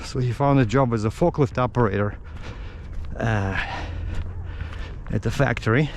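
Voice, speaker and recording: A middle-aged man from Russia with a Russian accent tells stories casually in English as a non-native speaker, haltingly searching for words. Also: medium pitch. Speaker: vlogger. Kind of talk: casual rambling storytelling. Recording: outdoor street noise with traffic.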